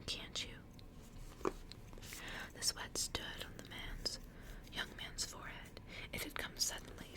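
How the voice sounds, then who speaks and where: Whispering woman reading a story. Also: low-quality recording. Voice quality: breathy, unvoiced whisper. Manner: soft storybook narration. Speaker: ASMR reader.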